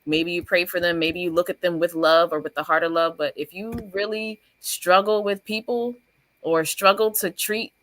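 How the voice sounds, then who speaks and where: Teacher lady guest, lowish voice, encouraging, dry close sound. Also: steady tone, moderate pace, a bit bright, no room sound. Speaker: guest, first-grade teacher. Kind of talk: casual chat.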